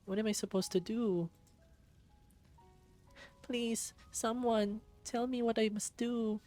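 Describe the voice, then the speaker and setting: A man's voice: high-pitched, steady tone, slow, warm tone, soft background music, voice pushed up. A man voicing a young fox boy from a visual novel, desperate, frightened and defiant. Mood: anguished. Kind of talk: visual novel character acting.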